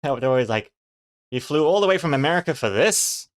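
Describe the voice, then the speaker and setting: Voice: mid-range voice, expressive, a little fast. A comic artist from New Zealand with a mixed, not quite New Zealand accent, chatting casually with livestream viewers and thinking aloud in a rambling way.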